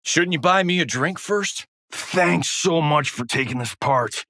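An actor with an American accent playing a cocky, wisecracking Hollywood action star who fights in a video game, delivering swaggering, sarcastic one-liners. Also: male voice, on the high side, measured pace, pushed and bright, dry recording, studio quality, full character voice. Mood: sarcastic, smug.

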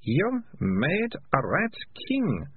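A man voicing an opinionated young girl who questions things briskly and sounds sure of herself, as an audiobook character. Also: high-pitched, huge pitch swings, a little hiss or hum, voice pushed up, full character voice. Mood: knowing, cool. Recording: narrow phone-like, muffled radio sound.

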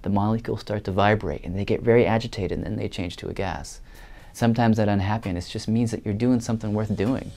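A man giving a slow, explanatory interview answer with analogies. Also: on the low side, steady tone, creaky, a little mellow. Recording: faint music bed.

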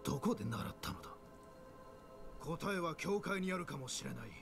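An actor playing a grim, urgent village survivor in a dark fantasy game. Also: male voice, fairly high pitch, expressive, moderate pace, gravelly, music in the background.

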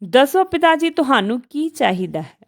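A woman voicing a kind, remorseful middle-aged man in a story, speaking earnestly and respectfully in halting phrases. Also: high-pitched, very animated, voice pushed up, exaggerated voice. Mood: warm, respectful.

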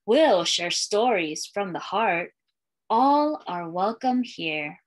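A woman's voice: medium pitch, lively intonation, slow pace. A woman in her thirties, a school librarian, reading a picture book aloud to young children in a sing-song storytelling way. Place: small indoor room with bookshelves and curtain.

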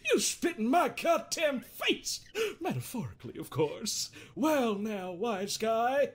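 Man doing a high, theatrical quack therapist. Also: sing-song, fairly bright tone, breathy edge, full character voice. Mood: irritated, mocking. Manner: theatrical, halting, swearing, self-amused with chuckles. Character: quirky, jokey male therapist doctor, theatrical and needling. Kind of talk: improvised comedic game fandub.

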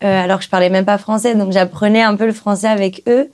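A young woman from Brazil, a native Portuguese speaker, chatting as a guest singer in a small music studio, relaxed, with many pauses. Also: medium pitch. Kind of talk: casual interview chat. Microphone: studio mic on stand.

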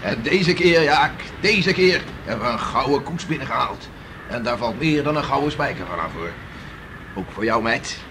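An actor playing a hardy, boastful beachcomber husband in a 1960s radio play, bluff and emphatic. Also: male voice, medium pitch, expressive, normal speed, lightly acted. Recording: noisy old radio play recording.

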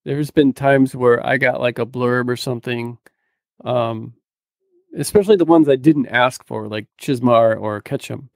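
A man's voice: medium pitch, measured pace, vocal fry, dry recording. A middle-aged man co-hosting a podcast, chatting in a laid-back, colloquial way into a close mic. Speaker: podcast co-host. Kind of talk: casual chat. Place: small cluttered home room. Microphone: close studio mic on boom arm.

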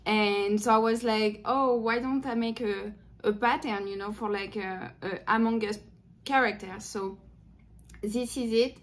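A woman's voice: on the high side, fairly even intonation, unhurried. A young French woman with a thick French accent, hosting a casual crochet tutorial to camera in a small room, chatty and upbeat with plenty of fillers. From France. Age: young adult. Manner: chatty and upbeat, many "like"s, simple English.

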